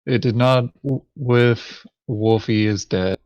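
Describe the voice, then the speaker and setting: A man's voice: medium pitch, slow pace, a little mellow, no room sound, highs cut off. A man chatting casually over a board game in brief, matter-of-fact remarks. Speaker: board game player on a playthrough show. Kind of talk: casual tabletop game chat.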